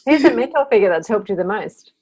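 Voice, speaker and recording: A woman's voice: mid-range voice, animated, fast, darkish tone, breathy edge. A woman interviewer chatting casually, asking short questions and responding in an encouraging, affirming way. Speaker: interviewer, host. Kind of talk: casual, upbeat interview chat.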